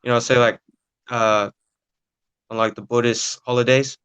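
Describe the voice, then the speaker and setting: A man's voice: medium pitch, little pitch movement, a little slow, a bit bright, smooth, dry recording. A nineteen-year-old man answering interview questions casually and hesitantly, with lots of filler sounds. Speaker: podcast guest, young footballer.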